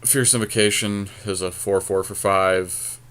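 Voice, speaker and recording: A man's voice: sits low, steady tone, measured pace, fairly bright tone. A man co-hosting a casual podcast, giving analytical commentary as he thinks aloud through card game picks. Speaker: podcast co-host.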